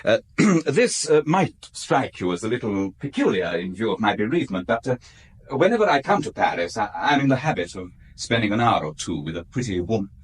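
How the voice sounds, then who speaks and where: An actor playing an awkward, guarded middle-aged aristocrat in a radio drama, polite and halting with nervous hesitations. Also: male voice, on the low side, very animated, breathy edge. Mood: embarrassed, evasive.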